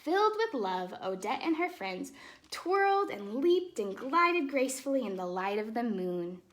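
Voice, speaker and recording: A woman hosting storytime, reading a fairytale aloud to children expressively, with casual explanatory asides in a softer, chatty manner. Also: fairly high pitch, very animated, measured pace. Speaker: storytime host and ballet dancer.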